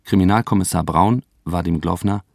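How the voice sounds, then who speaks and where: Credits announcer: man, low-pitched, fry-laden voice, scripted radio delivery, close dry sound. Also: normal speed, a little mellow, no echo.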